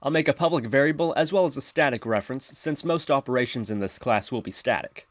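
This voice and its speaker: A man narrating a software tutorial in an instructional, step-by-step manner. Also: mid-range voice, quick pace, dry recording. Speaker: tutorial presenter.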